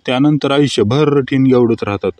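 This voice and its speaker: A man's voice: medium pitch, a little fast, background noise, lightly acted. A man playing a sarcastic, teasing husband in scripted comedy cartoon skits. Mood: dry, teasing.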